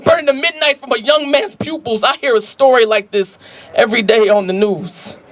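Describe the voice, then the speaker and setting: A man's voice: very high voice, a bit bright. An American man delivering impassioned spoken-word poetry in an emphatic, rhythmic, declamatory way at an outdoor rally.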